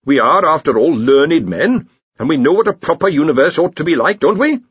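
A man's voice: a little high, lively intonation, brisk, husky, dry recording, voice pushed up. A male narrator acts an older wizard academic, fussy and mildly hopeful. The delivery is ponderous but tuneful, lifted above normal talk, on a slightly muffled storybook recording. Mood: mild, suggesting.

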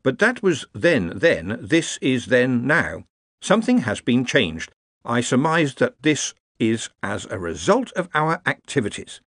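A man's voice: mid-range voice, big pitch swings, a little slow, fairly bright tone, dry recording. A man voicing a prim, literal-minded thinking machine in a dry, terse manner. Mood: flat, precise.

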